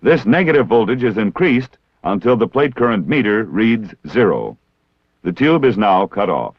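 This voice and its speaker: A low-voiced American man delivers formal instructional narration with lively rises and falls in pitch. His enunciation is measured and precise, and the sound is that of a dull, dated 1960s film soundtrack. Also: no echo.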